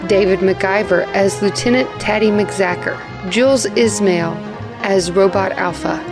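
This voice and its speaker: A woman's voice: mid-range voice, unhurried, over music. A woman announcer reading cast credits in a scripted style.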